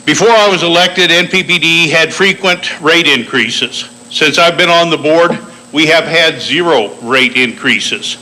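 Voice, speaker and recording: A male candidate at a public forum in a cafe, listing his record in a measured, point-by-point way. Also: on the high side, pushed and bright, poor audio. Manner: measured, lists achievements point by point. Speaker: political candidate. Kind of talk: campaign speech at a public forum. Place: bakery cafe forum room.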